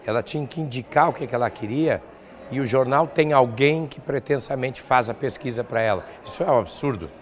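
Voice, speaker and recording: A man's voice: mid-range voice, a little fast. An older man with a southern Brazilian accent, a professor answering interview questions in an explanatory way with examples. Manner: explanatory, illustrates points with examples. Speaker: academic researcher and professor being interviewed.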